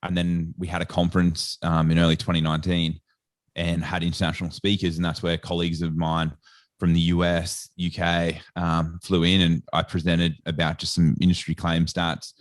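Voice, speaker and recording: A man's voice: deep voice, completely flat delivery, moderate pace, no echo. An Australian man talking as a podcast guest in a casual, rambling way, full of "like" and "sort of.". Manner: rambling storyteller, heavy on "like" and "sort of". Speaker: guest, sports nutritionist.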